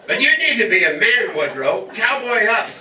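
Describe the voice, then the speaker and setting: A man's voice: high-pitched, sing-song, normal speed, pushed and bright, recorded from a distance, heavily acted. A man playing a weary, exasperated wagon master in a stage radio parody. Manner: drawling, exasperated. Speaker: amateur stage actor. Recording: roomy stage from afar, audience noise.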